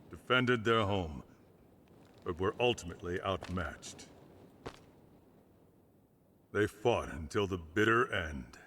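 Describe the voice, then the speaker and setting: A man playing a solemn, knowledgeable veteran in a video game, speaking gravely and deliberately. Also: low-pitched, a bit bright, a little hiss or hum, exaggerated voice. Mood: grave, firm. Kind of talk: video game cutscene acting.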